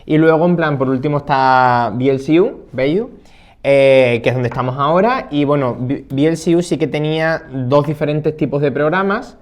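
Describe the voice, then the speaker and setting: A man's voice: fairly high pitch, moderate pace. A young man in his twenties, a student of interpreting, chatting casually and hesitantly with many fillers and restarts. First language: Spanish. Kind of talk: casual conversational chat.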